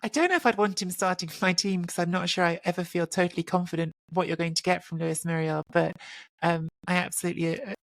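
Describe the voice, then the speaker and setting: Low-voiced woman chatting football. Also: fast, no echo. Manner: animated, stumbling restarts. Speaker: podcast panelist. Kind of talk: casual football chat.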